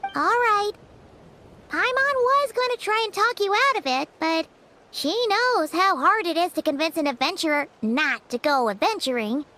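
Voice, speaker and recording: A woman voicing a small, excitable, childlike fairy companion in a game, squeaky and whiny, with music behind. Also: normal speed, full character voice. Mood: playful grudging. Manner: whiny, exclamatory, refers to herself in third person. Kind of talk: English game voice acting.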